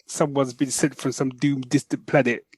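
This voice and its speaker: A man's voice: mid-range voice, little pitch movement, moderate pace, darkish tone, husky, no echo. A man co-hosting a podcast, chatting casually about geek news in a jokey, slangy, conversational way. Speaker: podcast co-host.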